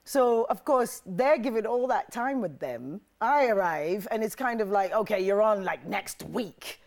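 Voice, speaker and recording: A woman's voice: mid-range voice, expressive, measured pace, a little mellow. A middle-aged woman, an actress born in Ethiopia, telling her story candidly as a TV interview guest. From born in Ethiopia to South African parents. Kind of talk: TV studio interview.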